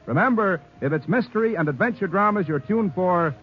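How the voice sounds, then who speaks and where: A muffled 1950s radio recording with faint music carries a male announcer with an American accent. His voice is high for a man. He reads scripted promotion in a polished, emphatic way. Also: lively intonation, a little fast.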